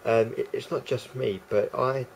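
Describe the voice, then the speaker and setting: A man with a croaky voice talking casually to camera as a vlogger, rambling and hesitant with many fillers and restarts. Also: mid-range voice, steady tone, unhurried, a little mellow, slight background noise.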